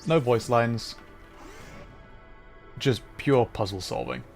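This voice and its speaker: A man's voice: medium pitch, slow pace, darkish tone. Casual male game streamer over music. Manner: rambling, thinking aloud while playing. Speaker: livestreamer. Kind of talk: casual live game commentary, chatting to viewers.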